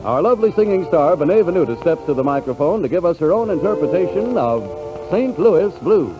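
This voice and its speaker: A man's voice: on the high side, sing-song, fairly quick, over loud music. An American man announcing and reading scripted advertising in a 1940s radio style. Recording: dull, faintly noisy 1940s radio recording.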